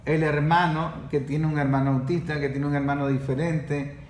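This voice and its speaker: A man hosts a podcast talk, his voice sitting a little high for a man. He jumps in with questions and finishes other people's thoughts. The room is echoey, with faint noise behind him. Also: little pitch movement, normal speed, a little mellow. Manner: interjects, finishes others' sentences. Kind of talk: podcast conversation.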